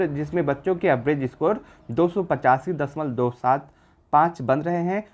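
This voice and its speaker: A man's voice: fairly high pitch, darkish tone. A man presenting an exam-prep video, explaining figures informally in an assured, repetitive way. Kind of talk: informal explanatory data commentary.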